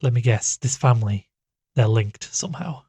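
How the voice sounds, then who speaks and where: An anxious amateur investigator in a horror audio drama played by a male actor, earnest and hesitant. His voice is husky and recorded dry and close, with a worried edge. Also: mid-range voice, normal speed, mellow, no echo. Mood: uneasy, questioning. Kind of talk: audio drama dialogue and first-person narration.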